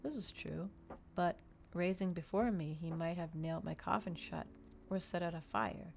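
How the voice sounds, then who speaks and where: A woman streamer reading a story aloud in a soft, gentle, unhurried ASMR style, close to the microphone. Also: on the low side, creaky, a little hiss or hum.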